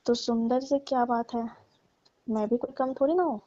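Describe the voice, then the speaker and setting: A woman's voice: a little high, normal speed, dark tone. A woman, pleading deferentially in a private phone conversation. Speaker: domestic worker on a call with employer. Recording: muffled, roomy phone call recording.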